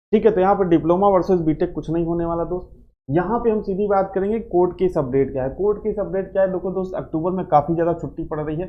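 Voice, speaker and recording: Man, fairly high voice. Informal update host who repeats key points; slightly muffled lo-fi recording. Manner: repeats points and checks in with "okay" tags. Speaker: host of exam and recruitment update channel. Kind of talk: informal explanatory update addressed to viewers.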